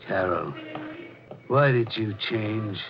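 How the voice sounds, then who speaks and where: Big, lonely, childlike brute. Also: male voice, fairly low pitch, animated. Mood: suspicious, menacing. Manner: halting, childlike, plaintive. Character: big, slow, lonely, menacing simple-minded man. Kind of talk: 1940s radio drama acting. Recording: muffled old radio recording, organ music behind.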